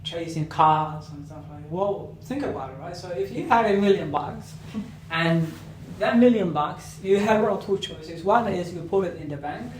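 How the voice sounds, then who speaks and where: A man's voice: sits high, moderate pace, few pauses. A man from Somalia, a podcast guest, talks through ideas with examples and rhetorical questions. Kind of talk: casual interview talk. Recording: echoey room, mic at a distance, noisy.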